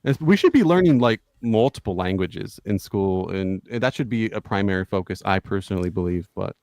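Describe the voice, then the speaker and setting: A man with a fairly low voice hosts a relaxed livestream conversation. He often repeats words and restarts his sentences. He encourages his guests with short agreeing words. The sound is dry and close. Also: fairly quick, dry recording. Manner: hesitant, repeats words, warm affirmations. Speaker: podcast host. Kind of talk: casual livestream chat.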